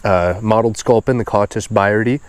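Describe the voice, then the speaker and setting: A man's voice: on the low side, normal speed, clear, resonant tone. A man with a nasal, stuffed-up voice, sounding sniffly as he hosts a fishing video in a casual, enthusiastic way outdoors. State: congested and sniffly. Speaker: host of a fishing video series. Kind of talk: casual outdoor vlog narration. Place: outdoors beside a small creek.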